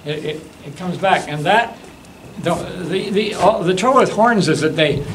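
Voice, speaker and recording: A man in his seventies giving an informal demonstration talk, halting and anecdotal as he rambles along. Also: high-pitched, expressive. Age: 70s. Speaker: guest demonstrator and hobbyist. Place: classroom lecture room. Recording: faint room noise.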